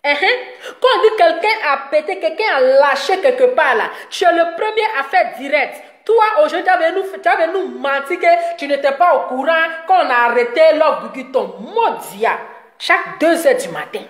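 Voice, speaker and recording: A high-voiced woman delivers a rambling, scolding commentary. She mocks her target and laughs often between jabs. Her voice rings in a roomy, echoing space. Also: animated, normal speed. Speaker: online commentator. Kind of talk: ranting commentary video.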